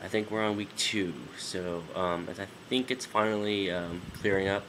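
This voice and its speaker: A man's voice: deep voice, steady tone, moderate pace, background noise. A man in his twenties, nasal from a sinus infection, giving a rambling casual vlog update full of ums and restarts. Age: 20s. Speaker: vlogger.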